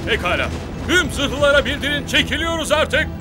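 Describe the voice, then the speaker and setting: An actor playing an exasperated navy admiral in a dramatic cartoon, exclamatory and commanding. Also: male voice, very high voice, very animated, moderate pace, music in the background. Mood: bitter dismay. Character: exasperated British navy admiral, shaken by defeat.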